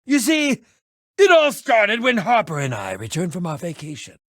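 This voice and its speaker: Eccentric game adventurer, high-pitched, bright forceful tone, pompous, theatrical, wordy, self-important. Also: male voice, wildly swooping pitch, moderate pace, dry recording, clean studio sound, heavily acted. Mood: eager. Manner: theatrical, pompous, wordy, swinging from muttering to exclaiming. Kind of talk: animated video game voice acting.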